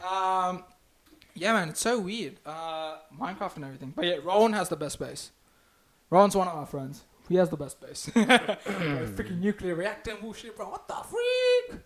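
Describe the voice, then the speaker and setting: A young man with an Australian accent hosting a casual podcast chat, excitable, swearing and laughing often. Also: high voice, normal speed. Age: young adult. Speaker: podcast host.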